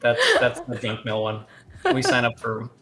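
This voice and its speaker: A man's voice: mid-range voice, flat delivery, a little slow, a crowd in the background. Romanian-born man, casual guest, rambling delivery, echoey room recording. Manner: rambling, with many fillers and restarts. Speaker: guest, competitive card game player. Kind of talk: casual interview answers.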